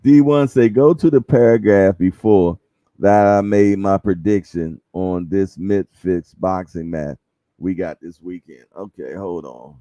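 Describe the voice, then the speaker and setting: A man's voice: low-pitched, a little slow, mellow, no echo, lo-fi sound. A man in his fifties hosting a boxing livestream, chatting casually and reading viewer comments, chuckling and repeating phrases with slangy banter. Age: 50s.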